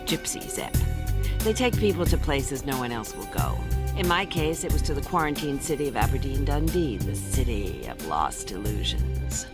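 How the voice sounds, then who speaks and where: An actress playing a cool, tough sci-fi detective in an audio drama, dry and laconic. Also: female voice, extremely low pitch, very animated, moderate pace, over loud music, exaggerated voice. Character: cool, tough female sci-fi detective narrating her adventure. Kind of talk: scripted first-person audio drama narration.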